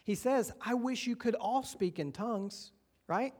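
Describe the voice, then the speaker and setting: A man's voice: extremely high pitch, unhurried, a little mellow. A man with a Southern American accent preaching a sermon to a church, talking in a conversational way and often asking the congregation questions. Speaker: pastor.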